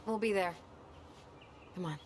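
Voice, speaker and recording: An actress playing a self-doubting, caring young adult sister with an American accent in a naturalistic video game cutscene. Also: female voice, mid-range voice, unhurried, noisy background, close to an everyday voice. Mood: subdued, wry.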